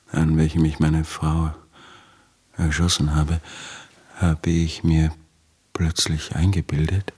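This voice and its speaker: A very deep, slow, weary husband. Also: male voice, slightly rough, exaggerated voice. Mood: bleak, confessional. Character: weary, obsessive, desperate husband recounting his life. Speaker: actor narrating as lead character. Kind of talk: literary radio drama monologue.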